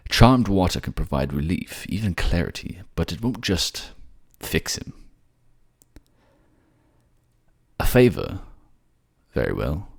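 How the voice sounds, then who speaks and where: A man playing a gentle, courtly merman prince who speaks tenderly, politely and a little bashfully in a romantic fantasy audio drama. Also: sits low, sing-song, measured pace, vocal fry, dry recording, studio quality, full character voice. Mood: tender, affectionate.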